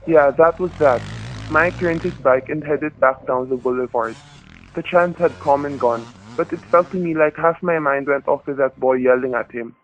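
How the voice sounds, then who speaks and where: A man's voice: fairly high pitch, moderate pace, lightly acted. A man playing a tense husband who narrates his ordeal in a radio thriller, colloquial and vivid, on a thin, noisy recording. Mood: tense, brooding. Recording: tinny, noisy narrow-band radio recording.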